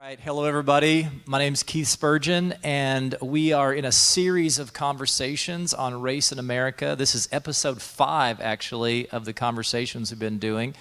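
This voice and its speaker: A man's voice: medium pitch, steady tone, normal speed, hardly any pauses, a bit bright, smooth, faint music behind. A man, a pastor, hosting an interview and talking in a friendly way, laughing easily.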